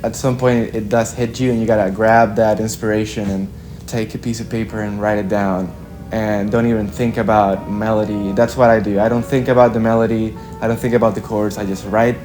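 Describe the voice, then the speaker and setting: Low-voiced young man. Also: level tone, over music. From Chile. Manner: reflective, halting, with frequent "like" and "kinda". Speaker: musician being interviewed. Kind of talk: casual interview answers.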